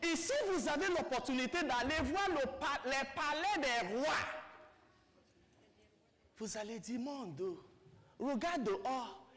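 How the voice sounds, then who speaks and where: High-pitched Nigerian priest preaching in reverberant church. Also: male voice, lively intonation, unhurried, pauses often, fairly bright tone. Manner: rhetorical questions to listeners, repeats phrases for emphasis. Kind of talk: homily to a congregation. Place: large reverberant church indoors.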